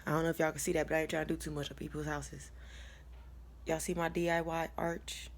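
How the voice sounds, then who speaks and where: A young American woman with a deep, low-toned voice tells her personal story to camera in a casual, candid, colloquial and rambling way. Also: little pitch movement, fast, slight background noise. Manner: candid, colloquial, rambling, chuckles as she talks. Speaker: vlogger sharing a personal testimony.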